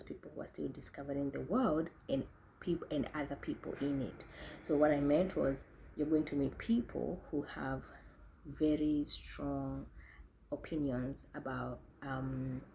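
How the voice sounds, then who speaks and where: A young woman from Kenya talks through her own experiences with a low, mellow voice. She is thoughtful and often restarts her sentences as she works out what to say. A faint ambient hiss sits behind her. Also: rough recording. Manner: thoughtful, self-correcting, frequent restarts and fillers. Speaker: speaker sharing experiences. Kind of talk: reflective talk to camera.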